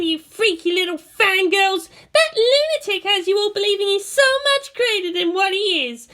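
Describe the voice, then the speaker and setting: A woman's voice: extremely high pitch, fairly bright tone, voice pushed up. A woman voicing a proud, hot-tempered teenage girl pilot who rants with snarling scorn in an audiobook-style story reading. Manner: snarling, indignant ranting.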